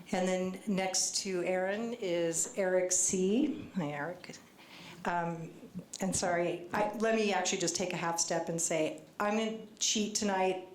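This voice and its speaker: A soft-spoken woman presents at a public meeting, sounding a little nervous as she reads from her notes. Her voice sits low for a woman. It rings around an echoey meeting hall, with a faint hiss of noise underneath. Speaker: state agency official presenting. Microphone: handheld microphone.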